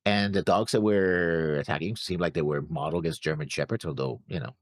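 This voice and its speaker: A man co-hosting a reaction show, casually talking through his notes in a halting way as he searches for words. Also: low voice, no echo.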